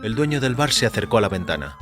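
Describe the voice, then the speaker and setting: Low-voiced man narrating a story over music. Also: very animated, quick pace. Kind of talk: dramatic audio short-story narration, first person. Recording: music under the voice. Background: background music.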